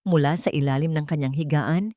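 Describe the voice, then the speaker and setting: Low-pitched woman narrating a drama. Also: a little fast, warm tone, dry recording, studio quality. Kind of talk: dramatic scripted story narration.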